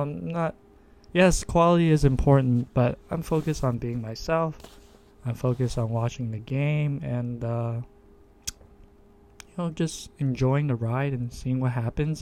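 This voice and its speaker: A man hosting his own podcast, introducing himself in a low monotone voice and stopping often with fillers and restarts. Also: creaky. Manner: hesitant, many fillers and restarts. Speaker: podcast host. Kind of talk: casual self-introduction monologue. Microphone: desktop USB microphone.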